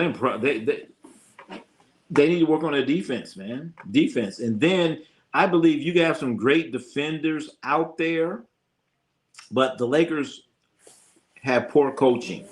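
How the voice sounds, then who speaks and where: An American man hosting a sports livestream in casual, slangy talk, giving blunt opinions and repeating phrases for emphasis. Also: medium pitch. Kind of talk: casual live sports commentary, opinionated chat.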